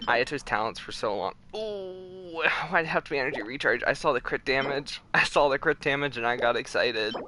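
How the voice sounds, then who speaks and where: A man chatting casually as he streams a game, rambling with mock-exasperated asides. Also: mid-range voice. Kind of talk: casual livestream chat while gaming. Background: loud game music.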